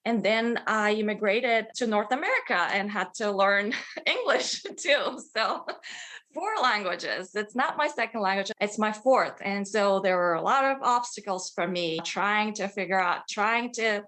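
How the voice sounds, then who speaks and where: A woman's voice: medium pitch, measured pace, a bit bright. Bulgarian-born woman author, enthusiastic. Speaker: children's author, guest. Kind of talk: interview answers, storytelling.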